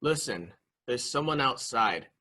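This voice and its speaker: A man performs an anxious, fretful householder in a murder mystery drama. His mid-pitched voice is sharp and tense, with a husky grain. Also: animated, unhurried. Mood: uneasy.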